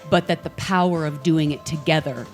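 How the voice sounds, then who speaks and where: A woman with a deep, low voice speaks persuasively to a room. Faint music plays behind her. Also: normal speed, a little mellow. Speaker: speaker at an event. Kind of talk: speech.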